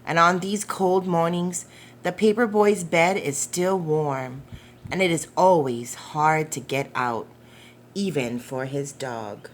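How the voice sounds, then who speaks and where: A woman with a slightly low voice reads a picture book to small children. She goes very slowly and gently, with expressive phrasing, as a preschool storytime reader. There is noticeable noise behind her. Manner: gentle, deliberate, asking the children questions.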